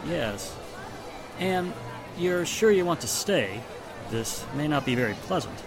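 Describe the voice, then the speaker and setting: Man acting police inspector, expressive mid voice, businesslike, old radio play, music and crowd behind. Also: fairly high pitch, measured pace. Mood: concerned, familiar. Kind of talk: 1940s radio drama acting.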